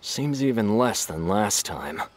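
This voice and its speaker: Low-voiced grumbling shady customer. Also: male voice, lively intonation, unhurried, soft background music. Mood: grumbling, dismissive. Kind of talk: video game voice acting.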